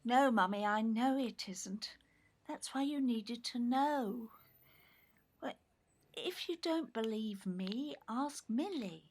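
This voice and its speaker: An actress playing a hurt, resentful grown daughter in an audio play, with an English accent and an emotional, pleading manner. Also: female voice, mid-range voice. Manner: emotional, pleading, bitter emphasis. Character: hurt, resentful grown daughter, long overlooked little sister.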